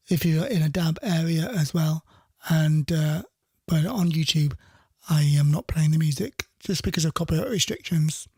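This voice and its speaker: A man's voice: a little high, darkish tone, slightly rough, no room sound, studio quality. A middle-aged man presenting a radio show in casual, rambling live chat, often repeating his phrases.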